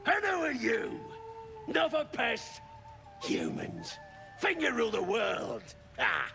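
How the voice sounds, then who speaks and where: Very gravelly goblin warrior. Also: male voice, very high-pitched, huge pitch swings, takes it slowly, raised voice, over music, exaggerated voice. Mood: aggressive, boastful. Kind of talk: fantasy game creature acting.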